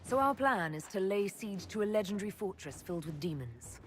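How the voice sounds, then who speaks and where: A woman's voice: mid-range voice, lively intonation, fairly quick, faint music behind, natural voice. Game role: actress as stern, dry-witted female army leader, mid-range, musical backdrop. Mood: dry, wry. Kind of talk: dramatic video game acting.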